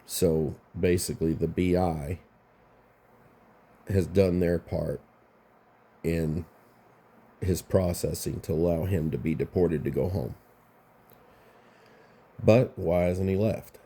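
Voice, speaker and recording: A man's voice: deep voice, steady tone, slow, pauses often, dark tone, breathy edge. A man hosting a casual commentary vlog, sounding congested and rambling, often restarting his phrases. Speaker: YouTube commentary host.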